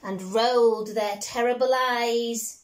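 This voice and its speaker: A woman in her thirties with an English accent reads a picture book aloud to children as a teacher would, with expressive, drawn-out storytelling. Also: fairly high pitch, slight background noise. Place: small bedroom at home, indoors.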